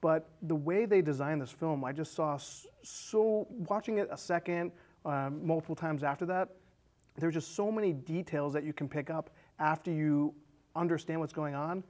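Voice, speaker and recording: American male guest, thirties, slightly high voice, casual conversational delivery. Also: normal speed, a little mellow. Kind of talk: casual chat. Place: small indoor studio set with curtains. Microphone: lapel.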